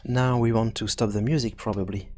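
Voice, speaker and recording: A man hosting a programming tutorial, thinking aloud in a halting, hesitant way as he works through each step. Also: lowish voice, steady tone, a little mellow. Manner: hesitant, halting, working things out as he speaks. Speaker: video game programming tutorial host.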